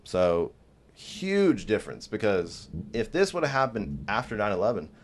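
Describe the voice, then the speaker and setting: A man's voice: lowish voice, sing-song, moderate pace. A man in his twenties, raised in the American South, telling his life story as a podcast guest with lots of "like" fillers. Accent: light Southern American. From Iraq, raised in Georgia, USA. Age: 20s. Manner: rambling, many "like" fillers, laughs often.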